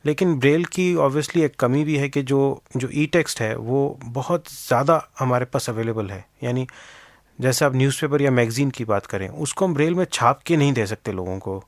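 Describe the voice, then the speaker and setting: A man's voice: mid-range voice, moderate pace, no echo, studio quality. A man giving explanatory interview answers as an expert guest, mixing English technical terms into his speech.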